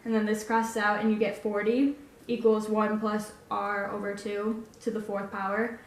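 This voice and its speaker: Woman explaining math, hesitant, flat, distant and roomy. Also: mid-range voice, moderate pace, a little hiss or hum. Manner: hesitant, with fillers. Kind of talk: step-by-step explanation.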